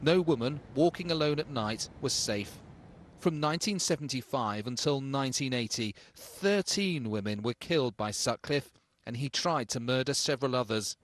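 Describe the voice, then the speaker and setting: A man's voice: medium pitch, animated, moderate pace, a little hiss or hum. A man reading a scripted news report as a reporter.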